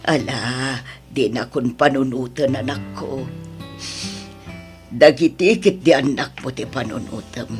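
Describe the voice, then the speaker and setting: Gentle old mother, low slow voice, devout, Ilocano accent, audio drama, soft background music. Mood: consoling. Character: kindly, devout elderly mother giving gentle counsel. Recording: music under the voice.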